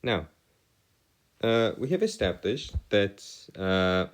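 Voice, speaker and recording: A man giving an explanatory maths lesson as a tutor, with formal, wordy phrasing and halting, hesitant delivery. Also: lowish voice, big pitch swings. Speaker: math tutor. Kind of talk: explanatory maths lesson over written working.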